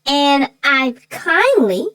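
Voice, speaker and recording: A woman voicing a sassy, feisty female co-host in chatty banter, with a folksy, emphatic, drawn-out way of telling things. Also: sits high, very animated, fairly bright tone, full character voice, low-quality recording. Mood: indignant, scheming. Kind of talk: scripted chatty banter about a children's book.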